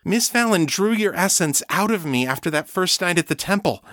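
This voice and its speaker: A panicked young woman, as a male narrator performs her, pitched high and pushing ever higher as she begs. Her lines climb in urgency, close and dry, with no room around them. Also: very animated, a little slow, fairly bright tone, dry recording, full character voice. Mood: pleading. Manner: rising, urgent pleading. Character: frantic young supernatural woman, pleading.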